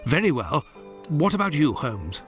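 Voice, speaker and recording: Victorian gentleman doctor, highish voice, very varied intonation, British accent, earnest, polite, game drama. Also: very animated, moderate pace, faint music behind. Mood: resolute. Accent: British English. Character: earnest, concerned male Victorian English doctor, detective's companion. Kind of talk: dramatic video game dialogue.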